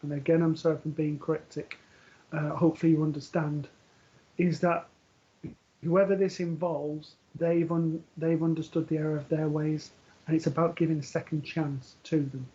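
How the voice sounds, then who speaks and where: A man with a somewhat high voice gives a spiritual reading, speaking gently and reassuringly. He often hesitates, fills pauses with ums and starts sentences over. The sound is dull and lo-fi, like audio from a live stream. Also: little pitch movement. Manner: hesitant, full of ums and restarts. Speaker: medium giving a live reading. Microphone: earpiece for live broadcast.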